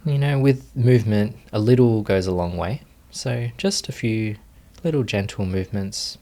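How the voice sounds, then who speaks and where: Man, lowish voice, meditation teacher, gentle instructions, calm, long pauses.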